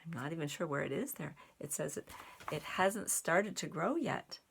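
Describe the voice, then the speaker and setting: A woman reading a children's picture book aloud in an expressive, deliberate way for young listeners, with little asides. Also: deep voice, gravelly, slight background noise. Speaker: storybook reader and sign language teacher.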